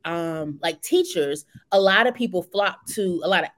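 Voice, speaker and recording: A woman's voice: lowish voice, expressive, normal speed. A woman co-hosting a casual podcast, talking in a chatty way full of "um" and "like". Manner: chatty, fills gaps with "um" and "like". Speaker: podcast co-host.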